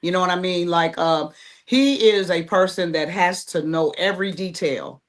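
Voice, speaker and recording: A woman's voice: fairly low pitch, normal speed. A woman from Ohio, a theater director and podcast host, chatting casually and acting out examples as she explains.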